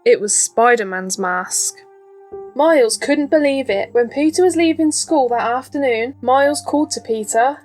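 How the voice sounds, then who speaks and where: Female storybook narrator, higher-pitched voice, scripted read for young children with light music underneath. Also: moderate pace, a little mellow. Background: faint background music.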